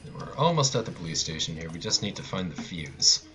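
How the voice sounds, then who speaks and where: A man with a low voice commentates live on his own gameplay. He talks casually and offhandedly with a dry, joking edge, while a keyboard clicks. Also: sing-song, a little fast, a bit bright, soft background music. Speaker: speedrunner commentator.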